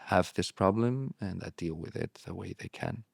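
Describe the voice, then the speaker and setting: Low gravelly man, reflective and halting. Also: a little mellow, dry recording, studio quality. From born in Morocco, raised abroad. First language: Spanish. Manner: reflective, halting with restarts and fillers. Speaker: guest, relapse prevention therapist. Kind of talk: candid interview talk.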